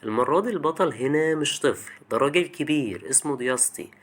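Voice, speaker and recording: A man's voice: on the high side, lively intonation, quick pace, a bit bright, breathy edge. A young man with an Egyptian Arabic accent narrates a scripted video essay in a colloquial, chatty way, addressing the listener directly.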